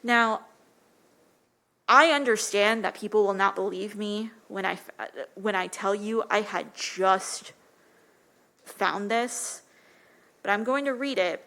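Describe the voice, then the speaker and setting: A soft-spoken woman with a light voice gives an earnest, impassioned public comment at a city council podium microphone. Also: medium pitch, slow pace, pauses often.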